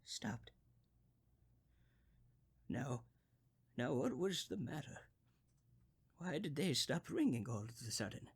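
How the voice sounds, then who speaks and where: A man's voice: on the high side, slightly rough, heavily acted. An actor playing a crotchety, panicky old invalid man in an old radio thriller, stammering and fretful in a melodramatic way. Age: older.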